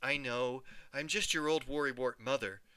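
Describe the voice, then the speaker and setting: A man's voice: mid-range voice, fairly even intonation, normal speed, pushed and bright, clear, resonant tone, close to an everyday voice. A man reading as a tender, worried mother. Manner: tender, soothing. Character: loving, gentle, worried working mother.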